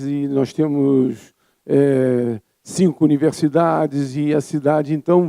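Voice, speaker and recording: The older Brazilian doctor at a conference lectern, reflecting informally in a mellow, gravelly voice. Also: male voice, a little high. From Rio de Janeiro, Brazil. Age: 70s. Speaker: physician speaking as a congress presenter.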